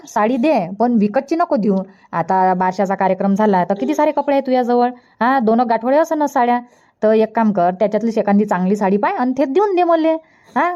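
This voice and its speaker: A woman's voice: fairly high pitch, dark tone, lightly acted. Thrifty village mother, acted by a woman, dull sound. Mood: questioning, reluctant. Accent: Vidarbha Marathi. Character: thrifty, practical older village mother visiting her daughter. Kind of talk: cartoon comedy dialogue. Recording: dull lo-fi cartoon dub.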